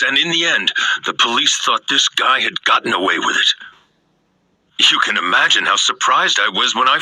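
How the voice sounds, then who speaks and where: A man playing a grieving middle-aged father in a video game, speaking in a halting, solemn, remorseful way. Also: medium pitch, pushed and bright, breathy edge, no echo, full character voice, poor audio. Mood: sombre, confessing. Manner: halting, solemn, weighted phrasing. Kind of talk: dramatic video game voice acting. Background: background music.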